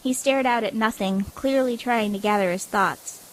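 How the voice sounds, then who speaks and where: A woman's voice: fairly high pitch, moderate pace, slight background noise, rough recording. A woman reading scripted story narration as the narrator of an audio drama.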